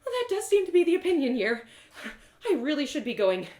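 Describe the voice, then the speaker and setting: A woman's voice: sits high, big pitch swings, a little fast, dark tone, pitched above the performer's own voice. A woman voicing a kind-hearted, plucky young female adventurer with an earnest, flustered, stammering manner, in a storybook reading. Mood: nervous, flustered. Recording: roomy echoing sound.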